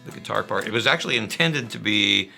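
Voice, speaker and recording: A man from a band, talking casually and at length about how one of their songs was made, relaxed and quick to laugh. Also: lowish voice, creaky, faint music behind, rough recording. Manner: relaxed, rambling, laughs easily. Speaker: band member discussing a song. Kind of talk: casual conversational chat.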